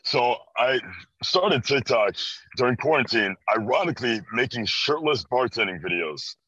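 A man's voice: mid-range voice, animated, normal speed, bright, forceful tone, breathy edge, no room sound. A man in his early twenties from Chicago with an American accent, chatting casually as an interview guest. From Chicago, USA. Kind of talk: casual chat. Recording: dry, slightly dull band-limited audio.

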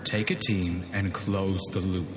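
A man's voice: low-pitched, little pitch movement, measured pace, very noisy. An actor playing a stern, menacing company man who gives orders in a science fiction audio drama. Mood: insistent, menacing.

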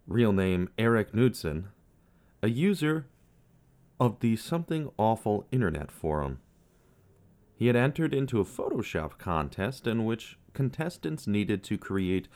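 A man narrating a scripted true-crime podcast as its host, with measured storytelling and dramatic pauses. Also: lowish voice, darkish tone.

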